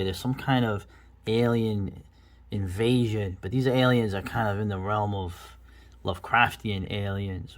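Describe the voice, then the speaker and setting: A middle-aged man giving casual, rambling, enthusiastic commentary as the host of a video review. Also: lowish voice.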